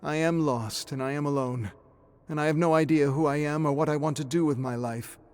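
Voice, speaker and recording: A man's voice: fairly high pitch, fairly quick, a little mellow, smooth, voice pushed up, lightly acted. Man acting out an anguished, lost man. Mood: anguished confession. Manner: emotional, exasperated, confessional. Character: lost, anguished man stranded alone, self-doubting. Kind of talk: dramatic storytelling dialogue.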